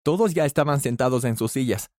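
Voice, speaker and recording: A man narrating a scripted first-person story with animated delivery, shifting his pitch when he voices the characters. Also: brisk, slight background noise.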